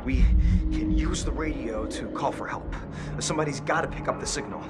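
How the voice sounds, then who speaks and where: A man's voice: medium pitch, a little fast, over loud music, close to an everyday voice. An actor playing a laid-back, level-headed young man in a horror video game. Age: young adult. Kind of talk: video game cutscene acting. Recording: loud game ambience behind.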